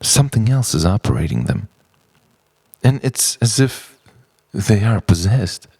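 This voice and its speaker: A man delivering an introspective spoken-word monologue in short, halting, dramatic phrases. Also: fairly low pitch, very animated, darkish tone, slightly rough, no room sound. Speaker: narrator of own written reflection.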